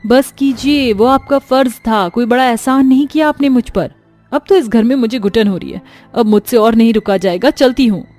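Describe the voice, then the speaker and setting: A woman's voice: fairly high pitch, normal speed, dark tone, natural voice. A woman playing a haughty, ungrateful young daughter with a snappish, showy manner in a storybook drama. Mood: irritated, disdainful. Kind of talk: audio storybook drama acting. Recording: music under the voice.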